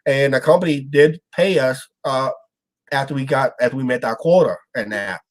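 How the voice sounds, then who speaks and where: Stuffed-up, rambling man hosting. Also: mid-range voice. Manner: rambling, halting, trails off with "and that". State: stuffed-up nose. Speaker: show host. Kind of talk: casual live-stream chat.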